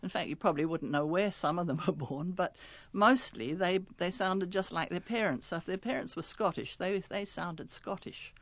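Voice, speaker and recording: A woman's voice: lowish voice, moderate pace, hardly any pauses, no echo. An older woman with a New Zealand accent, a retired linguistics professor talking chattily in a radio interview, laughing often and restarting words.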